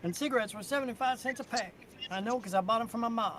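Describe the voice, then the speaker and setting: A man's voice: extremely high pitch, quick pace, fairly bright tone. A middle-aged man from the United States in casual, blunt conversation, reminiscing and swearing freely. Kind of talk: casual chat.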